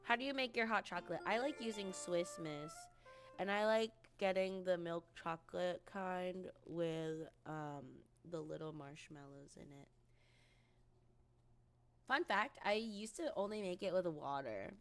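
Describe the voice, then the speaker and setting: A woman's voice: on the low side, a little slow, soft background music. A young woman streaming, chatting casually with viewers in a rambling way with lots of ums, her voice recovering from a cold.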